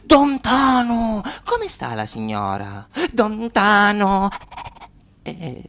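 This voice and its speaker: Bootlicking sicilian townsman for radio drama, slow, deferential, very up and down. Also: high voice, huge pitch swings, fairly bright tone, full character voice. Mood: obsequious. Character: fawning male townsman bowing to a mafia boss. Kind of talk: radio play acting.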